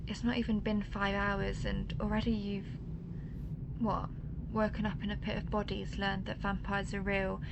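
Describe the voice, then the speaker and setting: A woman's voice: gravelly, close to an everyday voice. A woman playing a centuries-old vampire on the run in a roleplay audio drama, wry and protective, talking straight to the listener. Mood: concerned, serious. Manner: dry, wry levity amid grim urgency. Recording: noticeable low rumbling background noise.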